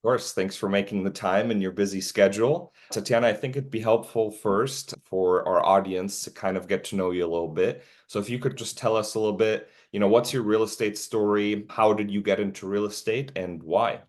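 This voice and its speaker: A man's voice: on the low side, fairly even intonation, brisk, few pauses, a little mellow. A man hosting a podcast, interviewing a guest in a friendly, encouraging way. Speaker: podcast host.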